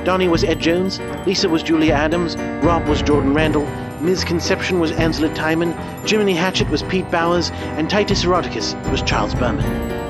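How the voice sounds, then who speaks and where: A man's voice: on the high side, a little fast, over loud music. A man reading out the cast credits in a plain listing manner.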